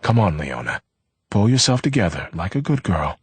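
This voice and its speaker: An exasperated young man, urgent and coaxing, his husky voice pitched up and swinging with strain, as a narrator acts him out. The sound is dry and close. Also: big pitch swings, normal speed, no room sound, full character voice. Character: exasperated young man trying to keep calm.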